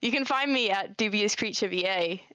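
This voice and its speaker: A woman's voice: medium pitch, normal speed, pushed and bright, clean tone, no room sound, low-quality recording. A woman chatting casually as a stream guest, nervous and self-deprecating, with a giggly manner. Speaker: stream guest, amateur voice actor. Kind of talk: casual chat.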